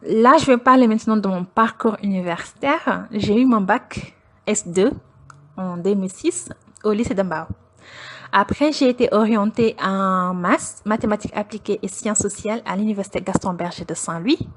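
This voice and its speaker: A woman's voice: mid-range voice, moderate pace, a bit bright. Earnest young Senegalese woman. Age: young adult. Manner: earnest, storytelling with light laughs. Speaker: PhD student interviewee. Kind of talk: personal account in an interview.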